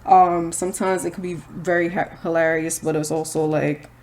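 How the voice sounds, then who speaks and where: A woman's voice: low voice, flat delivery, normal speed, darkish tone. A woman, chatting casually and candidly while doing her makeup. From Brooklyn, New York. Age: 20s. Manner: chatty, candid, slangy asides. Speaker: vlogger.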